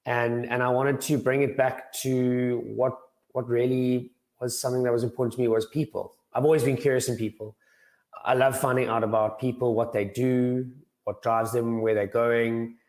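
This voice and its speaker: A young man with a slightly croaky voice, answering interview questions earnestly and often repeating words as he starts sentences. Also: mid-range voice, level tone, normal speed, darkish tone. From South Africa. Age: young adult. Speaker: guest financial planner.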